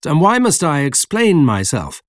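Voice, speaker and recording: A man's voice: a little high, wildly swooping pitch, a little slow, no echo, studio quality, pitched above the performer's own voice. A man voicing an anguished, defiant scientist in an audiobook, emphatic and indignant. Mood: indignant, questioning.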